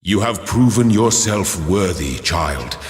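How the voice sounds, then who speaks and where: A man's voice: sits low, animated, slow pace, soft background music. A man voicing a solemn godlike creator in a video game, speaking in grand, paternal, scriptural oratory. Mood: approving, solemn. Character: solemn godlike male creator of a garden world. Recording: cavernous echo with long reverb.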